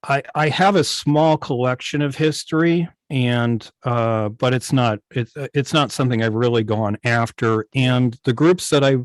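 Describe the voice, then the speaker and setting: A man speaking as an interview guest in an online meeting, telling things informally with hesitant, halting phrasing. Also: medium pitch, no room sound, clean studio sound. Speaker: guest, old-time radio station operator. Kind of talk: informal interview, anecdotal chat.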